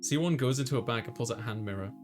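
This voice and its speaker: A man's voice: mid-range voice, very fast. A man reading a visual novel's narration and dialogue aloud in a dramatized way as he plays. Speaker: let's player voicing a visual novel. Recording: music playing behind the voice. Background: clear background music.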